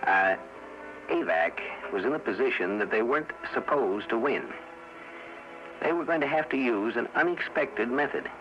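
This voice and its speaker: A man's voice: medium pitch, animated, normal speed, muffled recording. A man hosting a 1950s radio series, musing philosophically to the listener in a scripted introduction, on an old radio recording. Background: loud music.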